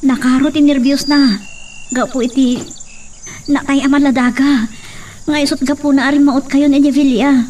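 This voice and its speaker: A woman's voice: high voice, steady tone, normal speed, pauses often, darkish tone, lightly acted. A woman with an Ilocano accent acting as an anxious, caring daughter in a rural radio drama. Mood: worried. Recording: distant mic with faint hiss, insect sounds.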